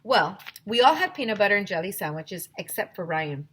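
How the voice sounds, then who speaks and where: A woman teacher reading a children's book aloud in an expressive, theatrical storytime style. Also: mid-range voice, a little fast, a little hiss or hum.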